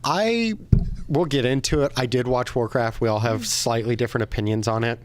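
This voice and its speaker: Male podcast co-host, average pitch, informal conversational delivery. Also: brisk, slight background noise. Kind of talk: casual chat about video games and films.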